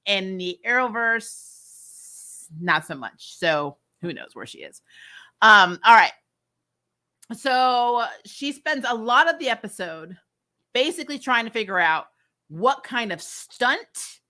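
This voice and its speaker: A woman host giving a casual, chatty recap and review, retelling things in an animated way with frequent asides. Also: mid-range voice. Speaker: YouTube host reviewing TV episodes.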